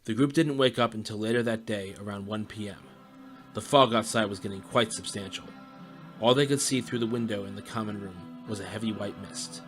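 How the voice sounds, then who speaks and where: Guy narrating an audio drama, lowish voice, music behind him. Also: a little fast, a bit bright. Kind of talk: scripted story narration.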